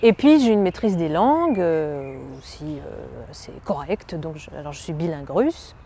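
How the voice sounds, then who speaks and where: A young woman from France, an actress, speaking in a slightly low, mellow voice. She muses aloud, halting often and circling back over her words. Faint background noise sits under her voice. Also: expressive. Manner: musing, halting, many fillers and restarts. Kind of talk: self-presentation interview.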